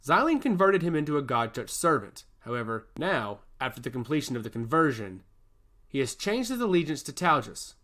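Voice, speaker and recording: Man putting on a smug, needling fantasy schemer, very animated. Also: mid-range voice, a little fast. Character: smug, teasing god-touched male emissary, giggling schemer. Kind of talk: fantasy audio story character.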